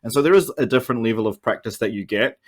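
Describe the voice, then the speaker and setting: A man's voice: mid-range voice, fast, breathy edge. New Zealand man talking casual esports analysis. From Oceania. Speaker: esports caster, podcast guest. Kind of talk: casual analytical podcast chat.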